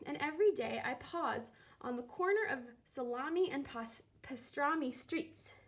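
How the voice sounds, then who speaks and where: A woman reading a children's book aloud expressively, with theatrical, deliberate phrasing and drawn-out words. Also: high voice, darkish tone. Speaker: reader of a children's picture book, teacher. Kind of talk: expressive storybook read-aloud.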